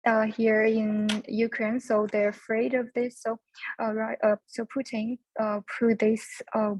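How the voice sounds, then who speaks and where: A woman's voice: fairly high pitch, a little mellow, dry recording, low-quality recording. A young adult woman from China, speaking hesitantly with many fillers as she searches for words in a class discussion. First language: Chinese. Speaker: student.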